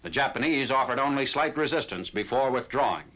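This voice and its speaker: A man's voice: medium pitch, expressive, a little fast, fairly bright tone. A man narrating a 1940s military newsreel in a formal, factual announcer style. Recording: noisy vintage 1940s newsreel soundtrack.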